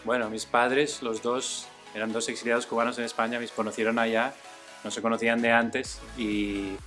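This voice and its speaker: A man in his forties, from Spain, talking about his painting in a relaxed, thoughtful way with many fillers. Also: medium pitch, moderate pace, music in the background. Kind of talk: casual documentary interview.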